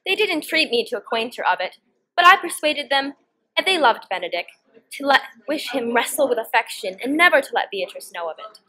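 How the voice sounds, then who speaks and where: A teenage girl acts a young noblewoman plotting in a Shakespeare comedy. Her voice is fairly high and highly animated, and she declaims the verse theatrically. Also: a little fast, heavily acted, rough recording. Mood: scheming, brisk. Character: Hero, scheming young noblewoman feigning disdain for her cousin. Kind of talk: Shakespearean stage dialogue, student performance.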